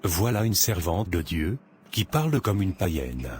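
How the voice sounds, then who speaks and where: Man acting a low-voiced, insistent ex-husband. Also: very animated, measured pace, darkish tone. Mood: offended. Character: smitten, pushy divorced man wanting his wife back.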